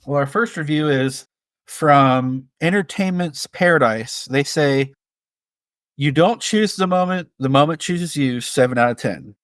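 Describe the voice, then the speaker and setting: A man with a slightly high voice co-hosts a casual movie review show. His delivery is conversational, and the recording is dry with very little room sound. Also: measured pace, fairly bright tone, no room sound. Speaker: podcast co-host. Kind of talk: casual film review chat.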